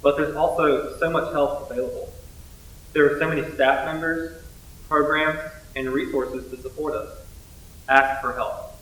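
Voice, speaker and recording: A man's voice: on the high side, steady tone, normal speed, a bit bright. A young man delivering scripted readers theater lines as a student performer, a little distant in an echoing room. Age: young adult. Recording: echoey, distant from the mic.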